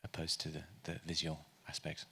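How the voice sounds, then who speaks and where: Man with a very low, bright and forceful voice, loud and slow as he puts his question to the speaker. His words ring out with the long reverb of a large hall. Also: monotone, vocal fry, breathy edge. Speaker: audience member asking a question.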